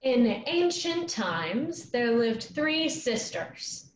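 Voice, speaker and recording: A woman playing an earnest young travelling player in a staged reading of a play, speaking with measured delivery. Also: fairly high pitch, very animated, full character voice. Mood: storytelling. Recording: echoey, dull lo-fi, noisy room.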